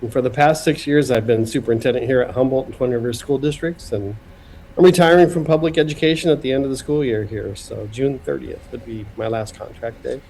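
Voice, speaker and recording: A man's voice: medium pitch, brisk, vocal fry, a little mellow, a little hiss or hum, poor audio. Plainspoken Midwestern man in his fifties. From Iowa. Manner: plainspoken, friendly, with light humor. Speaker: guest, school superintendent. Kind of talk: podcast interview. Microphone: video call.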